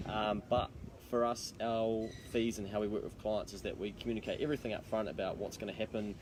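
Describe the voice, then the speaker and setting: A man's voice: on the low side, fairly even intonation, fairly quick, background noise. A man with a New Zealand accent chatting casually to camera as an accountant co-hosting a video, in a matey, slangy way. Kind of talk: casual chat to camera for a live social video.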